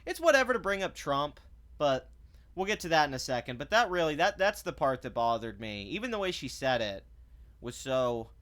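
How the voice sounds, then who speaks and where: A man's voice: a little high, sing-song, normal speed, a bit bright. A man delivering a sarcastic, blunt commentary rant, swearing freely and stumbling over restarts when he gets heated. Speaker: commentary vlogger. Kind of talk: ranting commentary.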